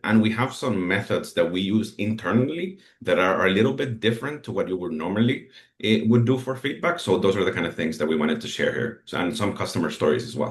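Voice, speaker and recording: A man from El Salvador with a Salvadoran Spanish accent, a native Spanish speaker, talking casually as a webinar panelist over a roomy video call. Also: fairly low pitch. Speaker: panelist, customer success manager. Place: indoor room on a video call.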